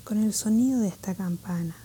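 A woman guiding a meditation in a calm, gentle, measured way, with a soft voice that turns breathy at phrase ends. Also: medium pitch, slight background noise. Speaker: meditation guide. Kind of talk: guided meditation narration.

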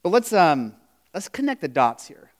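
High-voiced man preaching conversationally. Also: very animated, normal speed. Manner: conversational preaching with frequent "you know" and restarts; rhetorical questions to the congregation. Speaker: pastor. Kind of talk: sermon.

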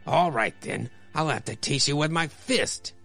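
Man acting a creaky, anguished young man. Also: fairly high pitch, a bit bright, soft background music, pitched above the performer's own voice, heavily acted. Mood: defiant. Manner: earnest, dramatic, halting when troubled. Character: defiant, bewildered young condemned Irishman.

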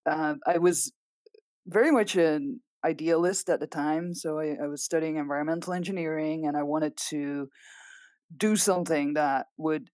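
Interview guest: Belgian woman, Dutch accent, low voice, dry recording, hesitant and thoughtful. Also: darkish tone, no echo. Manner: thoughtful, with frequent ums and restarts. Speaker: guest scientist. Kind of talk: interview answers.

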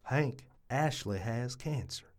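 A man's voice: mid-range voice, animated, takes it slowly. A man playing an earnest, nosy ballplayer in an audio drama. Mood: hushed concern. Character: earnest, nosy male ballplayer who reads lips.

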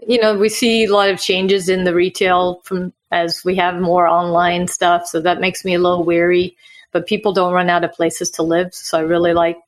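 A woman's voice: fairly low pitch, level tone, normal speed. A woman from Sweden who moved to the US as a child, explaining things conversationally as an investor guest in an interview. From Sweden, moved to the US at nine. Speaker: podcast guest, real estate investor. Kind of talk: casual interview answers.

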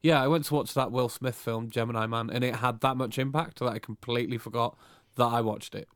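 A man's voice: mid-range voice, fairly even intonation, fairly quick, a bit bright, no room sound, clean studio sound. A man co-hosting a podcast, rambling in an easygoing casual chat. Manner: rambling, easygoing, restarts his thoughts. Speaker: podcast co-host.

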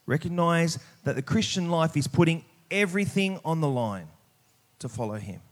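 A man preaching a sermon, speaking emphatically and pausing deliberately on key words. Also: on the high side, expressive. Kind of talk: sermon with scripture reading.

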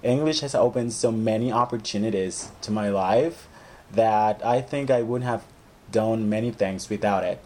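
A man's voice: fairly low pitch, normal speed, dark tone, slight background noise. Hesitant man, lots of "right?". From El Salvador. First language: Spanish. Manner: hesitant, restarts often, leans on "like" and "right?". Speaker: guest, English teacher. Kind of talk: casual interview chat.

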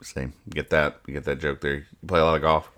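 Low-voiced man with bright tone. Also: little pitch movement, fast. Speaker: host of a comics-reading podcast. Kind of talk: casual comedic chat, reading and explaining comic strips.

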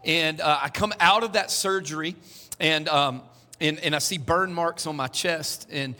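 A bright, fairly high-voiced man. He talks to the congregation like a friend and drops joking asides. His voice carries a long echo from a church hall. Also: a little slow. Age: 40s. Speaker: pastor. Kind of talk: sermon.

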